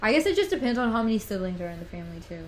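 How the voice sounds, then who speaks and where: A woman's voice: mid-range voice, very animated, very fast, a little hiss or hum. Chatty young woman, full of "like"s. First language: Spanish. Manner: rambling, fillers of "like" and "literally". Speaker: podcast host. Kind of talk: casual podcast chat.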